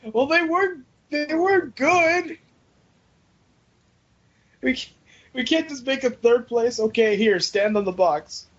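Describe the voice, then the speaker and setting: Guy with a high husky voice joking around on a podcast, dull lo-fi audio. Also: slow pace, long pauses. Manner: jokey, animated, swears casually. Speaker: podcast co-host. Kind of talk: casual group podcast chat. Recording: dull lo-fi voice chat.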